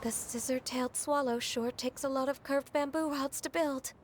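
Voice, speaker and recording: An actress playing a gentle, polite and hesitant young woman in a video game cutscene. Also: high-pitched, steady tone, normal speed, a little hiss or hum, lightly acted. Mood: mild concern.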